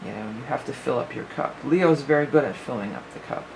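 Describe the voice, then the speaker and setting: A woman's voice: a bass voice, quick pace, vocal fry, background noise. A woman giving a casual spoken astrology forecast as a psychic reader, rambling and thinking aloud with many fillers, her voice a little worn.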